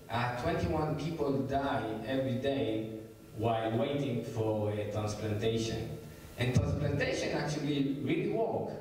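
A man's voice: medium pitch, a little mellow, slight background noise. A man in his forties with an Israeli accent gives a plain, explanatory scientific talk into a handheld mic on a stage. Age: 40s. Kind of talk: lecture presentation. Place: large theater stage. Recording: echoey hall from far off.

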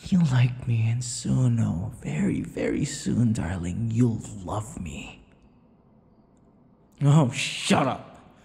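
A man playing a possessive, cocky, menacing vampire captor in an ASMR roleplay, taunting in a breathy voice that often drops into whispers. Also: mid-range voice, slow, dark tone, long reverb tail. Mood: angry, domineering. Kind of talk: ASMR boyfriend audio roleplay.

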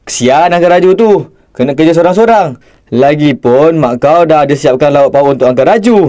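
A man plays a middle-aged cartoon father. His voice sits a little high for a man, kindly and considerate in a modest, fatherly way. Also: lively intonation, a little fast, dark tone, lightly acted. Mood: instructing. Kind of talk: cartoon voice acting.

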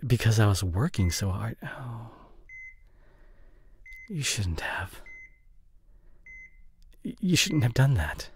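A young man playing a remorseful drinking boyfriend in an intimate audio roleplay, speaking in a halting, apologetic, soft and pleading way. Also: lowish voice, very animated, a little hiss or hum, exaggerated voice. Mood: troubled.

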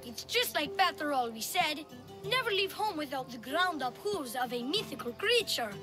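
A cocky young boy tour guide in a video game, selling with nonstop confident patter. The voice sits very high, and game music runs clearly underneath. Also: big pitch swings, normal speed. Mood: salesy.

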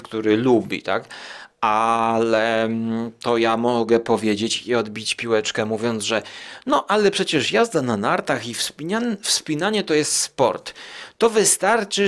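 Man musing aloud as a podcast host. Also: medium pitch. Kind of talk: casual rambling podcast review.

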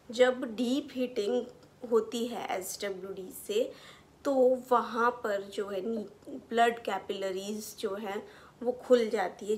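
Young lady doing a physio explainer, slightly high voice, takes her time, rephrases stuff simply. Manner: explanatory, repeats and rephrases technical terms in plain words. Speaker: physiotherapist presenter. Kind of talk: educational explainer to camera. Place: small furnished room indoors.

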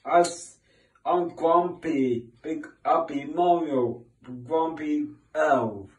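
A man's voice: on the high side, very slow, reverberant, far from the mic, rough recording. A young man playing a grumpy elf in an amateur pantomime, recorded in a room away from the mic. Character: grumpy elf dressed as video-game plumber.

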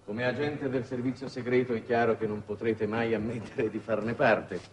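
An actor playing a shrewd, weary middle-aged statesman in a 1960s Italian television drama, speaking in formal, measured phrases. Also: medium pitch, level tone, close to an everyday voice. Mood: probing, ironic. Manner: measured, formal, deliberate oratory. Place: television studio set. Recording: noisy old 1960s television soundtrack.

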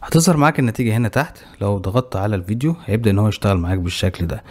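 Fast-talking Egyptian man explaining software. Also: on the low side. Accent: Egyptian Arabic. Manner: brisk, explanatory, walks through each click. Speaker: tech tutorial host. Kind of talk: screen-recorded software tutorial.

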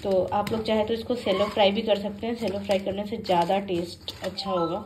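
A woman's voice: mid-range voice, little pitch movement, fairly quick, noisy background. A young woman from India with a Hindi accent, chatting casually to viewers as she cooks and tidies her home, explaining each step. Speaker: family vlogger. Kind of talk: casual home vlog narration. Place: home kitchen and bedrooms, indoors. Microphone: handheld phone at arm's length.

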